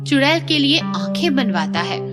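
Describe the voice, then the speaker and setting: Lively female horror story narrator. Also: sits high, moderate pace. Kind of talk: scripted dramatic horror narration. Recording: loud music behind the voice.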